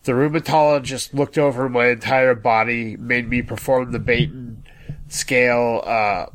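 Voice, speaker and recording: Slow-spoken man with a bright, forceful voice. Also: medium pitch, fairly even intonation, a little hiss or hum, rough recording. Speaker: podcast guest sharing personal experience. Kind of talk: measured personal account.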